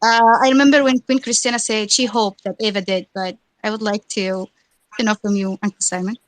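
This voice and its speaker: A woman's voice: medium pitch, fairly even intonation, brisk, rough recording. A woman hosting a live fan chat, eager and chatty, stumbling over her words. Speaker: host of a fan audio chat.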